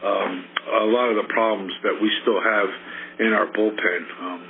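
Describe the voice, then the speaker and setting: Phone-line sound, slight noise, male caller, lowish voice, halting casual sports fan voicemail. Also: fairly even intonation, gravelly. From South Carolina.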